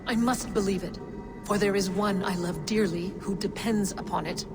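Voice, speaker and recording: An actress voices a banished giantess sorceress for a video game drama. She is a grave, sorrowful, determined woman with a mid-pitched voice that rings in long reverb over music. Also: steady tone, moderate pace, close to an everyday voice. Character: solemn exiled giantess sorceress, grieving and determined. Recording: long reverberant hall tail.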